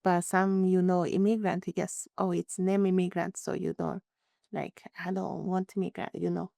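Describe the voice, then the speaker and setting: A woman in her thirties, born in Rwanda, earnestly telling her own story in plain, halting phrasing. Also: on the low side, little pitch movement, vocal fry, dark tone, no echo. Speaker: interviewee. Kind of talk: personal storytelling.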